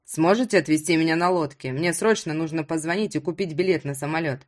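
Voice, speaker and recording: Woman as stranded rich man, low voice, impatient, demanding, sardonic, audiobook drama. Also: fast talker, natural voice.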